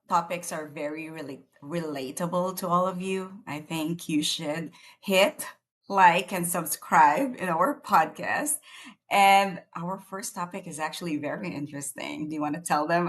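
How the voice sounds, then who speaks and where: Lively chatty woman from the Philippines. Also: fairly low pitch, measured pace. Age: late 30s. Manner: lively, chatty, many fillers. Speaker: podcast co-host. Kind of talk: casual chat. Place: small town in the United States.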